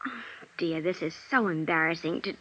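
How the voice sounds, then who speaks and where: An actress playing a flustered, genteel young stage performer in a 1950s radio drama, with hesitant, breathy interjections. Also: female voice, sits low, fairly quick, fairly bright tone. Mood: embarrassed, hesitant. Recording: dull vintage radio recording.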